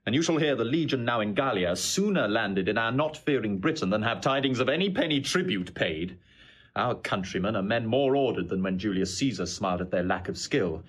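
An actor playing a proud, trusting husband open to jealous doubt, declaiming formally and earnestly in Shakespearean audio drama. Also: male voice, on the low side, slightly rough.

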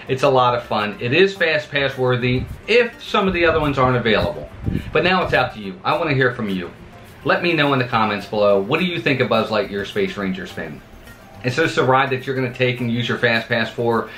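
Upbeat man vlogging in an echoey room. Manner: upbeat, lively pitch swings. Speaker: theme park channel host. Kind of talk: scripted vlog talk to camera. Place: home office with a monitor.

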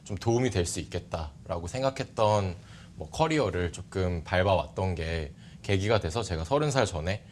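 A man in his thirties giving polite, measured explanations as an interview guest. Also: sits low, animated, a little hiss or hum. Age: 30s. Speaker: interview guest, startup CEO. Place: bright TV studio. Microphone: lapel mic.

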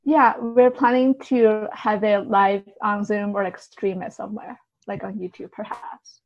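A woman's voice: medium pitch, fairly even intonation, unhurried, no room sound, dull audio. A woman answering casually as a podcast guest, in a tentative, hedging way. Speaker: guest, translator and poet.

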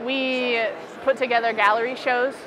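Busy convention-hall chatter surrounds a young American woman. Her voice sits slightly high, and she explains things informally, like an artist chatting with an interviewer at her booth. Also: level tone, normal speed. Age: young adult. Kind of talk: casual, informal interview presentation. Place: indoor convention expo booth. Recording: busy crowd noise around the voice. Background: convention hall crowd chatter.

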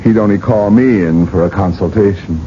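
An actor playing a blunt, candid physician in a radio drama, speaking in a measured, dry way. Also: male voice, low-pitched, dark tone, close to an everyday voice. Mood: frank, measured. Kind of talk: 1980s radio drama acting. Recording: muffled, hissy vintage radio broadcast.

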